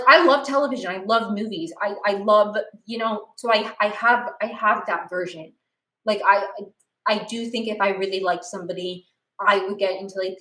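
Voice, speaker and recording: A woman in her late twenties, hosting a podcast in a rambling, animated chat full of restarts and fillers. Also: mid-range voice, reverberant, rough recording. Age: 20s. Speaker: podcast host. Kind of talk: casual chat.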